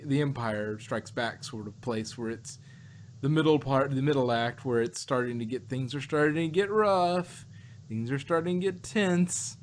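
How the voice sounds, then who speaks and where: A man's voice: mid-range voice, sharp tone, slight background noise. A man in a casual podcast discussion, hosting and rambling as he repeats and rephrases his points. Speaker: podcast host. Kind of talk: casual review chat about sci-fi shows.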